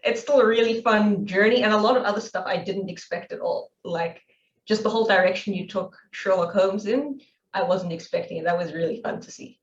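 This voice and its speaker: A woman's voice: mid-range voice, brisk, recorded from a distance. Woman cohost chatting, echoey and kinda muffled, off mic. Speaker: podcast co-host. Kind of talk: casual chat.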